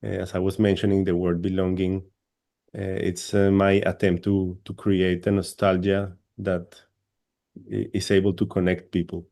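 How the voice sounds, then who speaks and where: Low, flat-voiced man giving a remote talk. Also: moderate pace, gravelly. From Colombia. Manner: hesitant, many repeated words and fillers. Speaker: panelist. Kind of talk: academic presentation. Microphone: video call.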